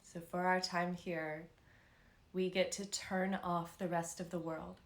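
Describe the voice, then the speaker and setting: Woman guiding meditation in an echoey room. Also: fairly low pitch. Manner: calm, soothing, unhurried with long pauses. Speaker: meditation and yoga instructor. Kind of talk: guided meditation, unscripted live instruction.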